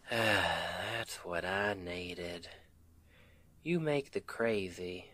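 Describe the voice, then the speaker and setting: A man's voice: low-pitched, pushed and bright, exaggerated voice. A man playing a sweet, devoted Southern husband in an intimate ASMR roleplay, tender and affectionate, with a Southern US accent.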